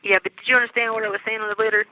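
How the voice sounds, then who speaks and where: A woman's voice: fairly high pitch, little pitch movement, rapid-fire, slight background noise. American woman on a tinny phone line. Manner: colloquial, insistent, repeatedly checks she is understood. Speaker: inmate on a jail phone call. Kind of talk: casual personal phone conversation. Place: recorded jail phone call. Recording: tinny, narrow telephone line.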